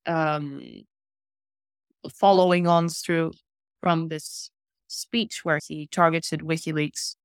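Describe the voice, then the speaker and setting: A woman from South Africa, a human rights lawyer, answering interview questions in a measured, thoughtful way with pauses. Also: sits low, little pitch movement, smooth, no room sound, studio quality.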